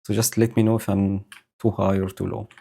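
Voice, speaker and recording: A man streaming live, explaining his code slowly and thinking aloud with many fillers. He has a low voice and is speaking into a home microphone setup with a noise gate. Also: flat delivery, gravelly, dark tone. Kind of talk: casual live-coding commentary. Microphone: new home audio setup with noise gate.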